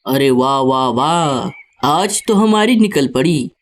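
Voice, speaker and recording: A woman voicing a greedy, foolish vulture sidekick in a children's cartoon, excitable and full of exclamations. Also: low-pitched, takes it slowly. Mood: gleeful. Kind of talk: Hindi children's cartoon dialogue.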